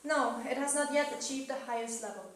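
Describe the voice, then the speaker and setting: A calm, knowing cosmic spirit played by a woman delivers solemn poetic statements. The voice is high and bright, picked up at a distance in a very reverberant space. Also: quick pace. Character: wise, knowing cosmic spirit, calmly restrained. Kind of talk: dramatic reading of a poetic dialogue. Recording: echoey room, distant mic, faint noise.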